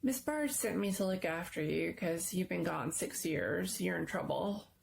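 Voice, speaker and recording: A woman's voice: on the low side, lively intonation, unhurried, gravelly, a little hiss or hum, full character voice. A woman voicing a smug, scornful schoolboy with a drawling, taunting manner in a story reading. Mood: gleeful teasing.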